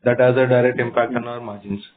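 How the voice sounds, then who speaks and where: Male executive, flat intonation, halting piecemeal delivery, narrow phone sound. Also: mid-range voice. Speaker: company executive. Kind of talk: earnings call answers. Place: conference call. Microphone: telephone. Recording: noisy, narrow-band phone line.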